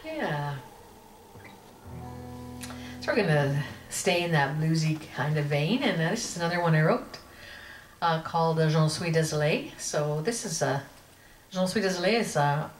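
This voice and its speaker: A woman's voice: low voice, lively intonation, measured pace, pauses often. A woman singer-songwriter chatting easygoingly between songs at a live outdoor show, reflecting on her music. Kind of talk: between-song stage banter. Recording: echoey live PA sound from far off with loud band music.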